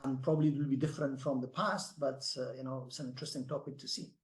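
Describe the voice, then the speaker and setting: A man speaking as a panelist in spontaneous discussion, halting and often repeating his phrases. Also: medium pitch, little pitch movement, a little mellow.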